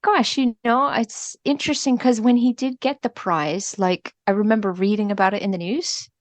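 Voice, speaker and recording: A woman's voice: a little high, fairly even intonation, moderate pace, no room sound. A woman chatting casually as a podcast guest, light and self-effacing in the way she talks. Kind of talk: casual chat.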